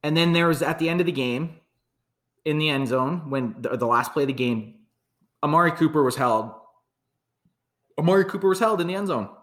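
A man's voice: a little high, fairly quick, long pauses, fairly bright tone. A man from Canada chatting casually about football, frank and rambling, swearing freely. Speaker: podcast co-host. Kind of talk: casual sports chat.

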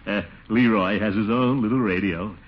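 An actor playing a pompous, blustering middle-aged bachelor uncle with a booming voice, given to chuckles, in a 1940s radio sitcom. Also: male voice, normal speed, slight background noise, lightly acted. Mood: flustered. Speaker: title character. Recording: dull vintage radio recording.

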